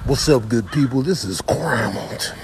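A man's voice: medium pitch, lively intonation, normal speed, slight background noise. A man doing improvised comic voiceover in slangy, repetitive talk. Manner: slangy, repeats phrases. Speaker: comedian doing animal video voiceovers.

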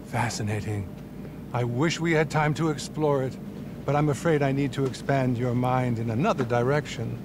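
An actor playing a smug, unhinged celebrity therapist, theatrical and full of clinical jargon, in a video game cutscene. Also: male voice, medium pitch, moderate pace, noisy background, lightly acted. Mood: grandiose, persuasive. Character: smug, unhinged, self-promoting celebrity therapist with delusions of grandeur.

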